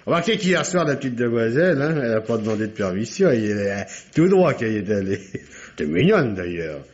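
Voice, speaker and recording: A man's voice: mid-range voice, sing-song, fairly quick, close to an everyday voice. Actor as chatty, folksy doorman in muffled old recording. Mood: teasing, cheeky. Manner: rambling, folksy, full of interjections. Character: chatty, folksy male office doorman, deferential yet cheeky. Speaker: doorman and receptionist. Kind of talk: old French radio drama acting. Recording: dull, noisy vintage radio recording.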